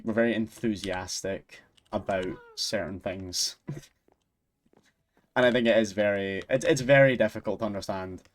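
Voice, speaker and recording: A man with a Scottish accent, chatting casually on a stream, rambling and laughing often. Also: fairly low pitch. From Scotland. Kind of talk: casual chat while gaming and reading chat.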